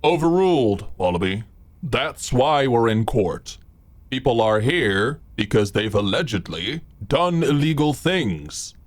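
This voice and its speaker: Casting: man as curt, unimpressed male judge for audio drama, slow delivery, mid-pitched with strongly varied intonation. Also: very animated. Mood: stern. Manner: authoritative, dry, curt. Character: stern, unimpressed courtroom judge. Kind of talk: courtroom roleplay audio drama.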